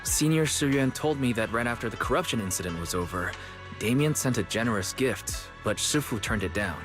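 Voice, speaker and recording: An actor playing an earnest, level-headed young disciple in a video game, explaining things in a measured way. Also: male voice, medium pitch, animated, music in the background, lightly acted. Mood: serious, informative.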